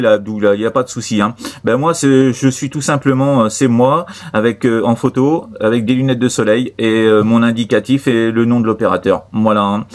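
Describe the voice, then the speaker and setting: A man's voice: mid-range voice, darkish tone. A man chatting casually as an amateur radio operator, repeating himself with friendly sign-offs. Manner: repetitive, filler-heavy, friendly sign-offs. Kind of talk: casual radio contact chat.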